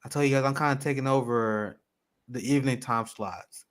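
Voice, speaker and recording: A man's voice: mid-range voice, brisk, smooth. A man hosting a political livestream, casually running through the show schedule in an upbeat way. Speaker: host.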